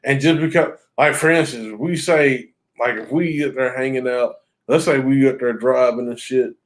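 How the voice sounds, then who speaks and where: A man co-hosting a hip hop podcast, talking in a blunt, joking, animated way. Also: mid-range voice, moderate pace. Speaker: podcast co-host. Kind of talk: casual chat.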